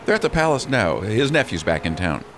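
Male actor as grumbling lord, protective father, lowish pitch, lively swings, emphatic, radio play, noisy ambience. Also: brisk. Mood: stern. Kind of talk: radio drama acting. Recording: clearly noisy outdoor ambience.

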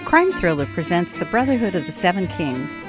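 A woman's voice: on the low side, big pitch swings, moderate pace. A woman announcer reading a dramatic scripted introduction to a radio crime serial. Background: violin music.

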